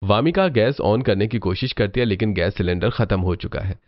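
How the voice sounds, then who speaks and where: A man's voice: lowish voice, brisk, no echo, highs cut off. A man narrating a family story from a script.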